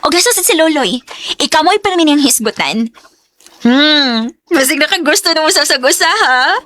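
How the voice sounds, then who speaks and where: High-pitched, teasing Cebuano girlfriend. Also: expressive, moderate pace, bright, forceful tone, a little hiss or hum. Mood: playful, nudging. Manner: playful, gossipy, nudging. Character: teasing, chatty young female office friend. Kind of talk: Philippine radio drama acting.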